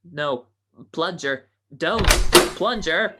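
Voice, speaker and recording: Woman playing excitable, melodramatic young society girl. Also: fairly low pitch, very slow, heavy background noise. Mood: alarmed. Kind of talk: 1940s radio comedy acting.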